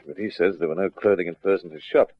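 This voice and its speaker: An actor playing a brisk, easygoing junior police detective, clipped and matter-of-fact, in an old radio drama. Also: male voice, sits low, no echo, muffled recording.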